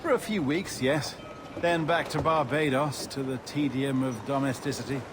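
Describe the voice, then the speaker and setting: An actor playing a mild, polite gentleman merchant who speaks hesitantly, in a dramatic video game. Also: medium pitch, moderate pace, background noise, close to an everyday voice. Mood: wistful, hesitant. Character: mild, timid gentleman merchant, dutiful husband and father.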